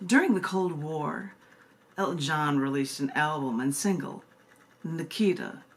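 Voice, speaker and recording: A woman's voice: low-pitched, lively intonation, slow. A woman with an American accent, a poet presenting and reading her own work live, emphatic and informal by turns. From United States. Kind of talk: live poetry reading with informal spoken introductions. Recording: noticeable background noise and room ambience.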